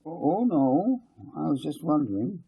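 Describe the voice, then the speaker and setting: A man voicing an earnest, excitable boy in an audiobook story. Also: medium pitch, a little slow, vocal fry, slightly rough, pitched above the performer's own voice, exaggerated voice, rough recording. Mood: hesitant, wondering.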